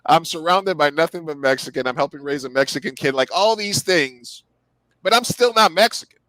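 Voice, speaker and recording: A man's voice: fairly high pitch, fairly quick, sharp tone, no room sound, low-quality recording. A man in his forties hosting a casual interview podcast, rambling with many restarts and fillers. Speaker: podcast host.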